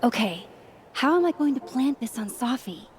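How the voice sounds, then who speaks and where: Young female game heroine, high-pitched, playful, wry, expressive, faint ambient noise. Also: moderate pace. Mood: scheming, casual. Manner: casual, teasing, expressive. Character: playful, wry young woman, bubbly film buff. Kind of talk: naturalistic video game acting.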